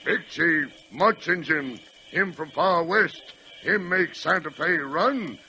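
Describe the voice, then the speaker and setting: An actor playing a proud talking locomotive who poses as a chief, declaiming in stilted broken English in an old radio play. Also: male voice, fairly high pitch, slow pace, bright, forceful tone, heavily acted. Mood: booming, proud. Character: proud, boastful male locomotive posing as stereotyped chief. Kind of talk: 1940s radio play acting. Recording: dull, hissy old radio recording.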